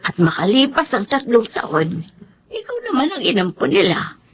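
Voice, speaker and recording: A woman's voice: on the high side, sing-song, highs cut off, slight background noise. A woman playing a loving, firmly moral elderly grandmother with a Filipino accent in an emotional radio drama. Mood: grave. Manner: deliberate, emotional, admonishing.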